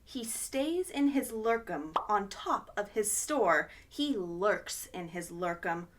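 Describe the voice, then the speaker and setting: A woman's voice: mid-range voice, lively intonation, slow pace, background noise. A woman hosting a children's storytime, reading a picture book aloud expressively with gasps of wonder.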